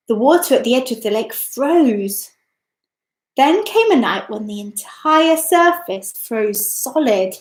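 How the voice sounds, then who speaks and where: Female children's storyteller, expressive and deliberate, higher register, very animated delivery. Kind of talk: scripted children's story narration. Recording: echoey, roomy sound.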